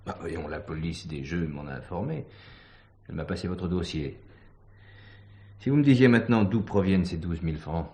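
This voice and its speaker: Low-voiced, authoritative police commissioner. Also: male voice, moderate pace, pauses often, mellow. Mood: probing, dry. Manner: authoritative, insistent, formally polite. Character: firm, brisk male police commissioner, dryly courteous. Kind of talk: French 1970s filmed stage thriller acting.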